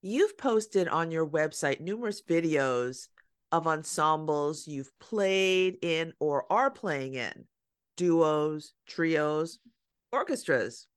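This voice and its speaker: A woman hosting an interview, asking measured, deliberate questions. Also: low-pitched, animated, smooth, no room sound, clean studio sound. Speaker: podcast host. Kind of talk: interviewing a guest.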